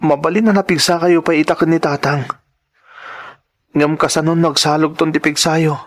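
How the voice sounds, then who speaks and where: A man's voice: a little high, steady tone, moderate pace, close to an everyday voice. A man playing an earnest, humble young farmer's son in a radio drama, with a pleading manner. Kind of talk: Ilocano radio-drama acting.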